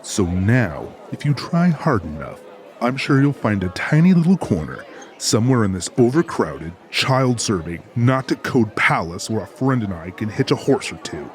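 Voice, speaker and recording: A man's voice: lowish voice, sing-song, moderate pace. A man playing a big, sardonic tough with a pompous, wordy, mock-formal manner in an audiobook drama. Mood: sarcastic, threatening.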